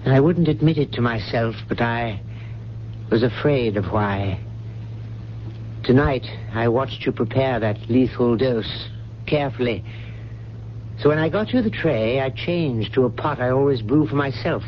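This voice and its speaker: An actor playing a stern, loyal old family butler in a 1970s radio drama, speaking in a grave, measured, formal way. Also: male voice, medium pitch, expressive, lightly acted. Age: older. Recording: muffled, noisy old radio broadcast.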